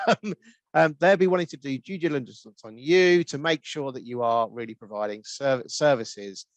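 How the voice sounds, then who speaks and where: Brisk man with a Norwich accent. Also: medium pitch, no room sound. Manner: explanatory, brisk, with many ums. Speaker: guest expert on tax rules. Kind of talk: answering questions in a webinar.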